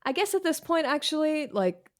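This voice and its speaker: A woman's voice: high voice, big pitch swings, normal speed. An American woman talking casually as an interview guest, candid, wry and self-deprecating, with slangy phrasing. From Washington, D.C., United States.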